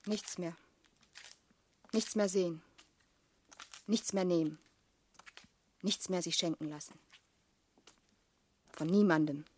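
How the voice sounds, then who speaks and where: An actress playing a hurt, proud young woman in a German radio play, with a husky, breathy voice. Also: on the low side, slow pace, long pauses, darkish tone, slight background noise. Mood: bitter, desperate. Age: young adult.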